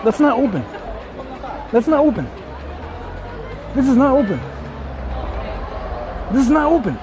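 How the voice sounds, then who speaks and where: Guy with a highish voice, jokey slangy street streamer, lots of crowd noise. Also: big pitch swings, a little slow, music in the background. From Japan. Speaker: livestreamer, street interviewer. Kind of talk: casual street livestream chat. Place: outdoors on a Tokyo street. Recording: noisy crowded city street.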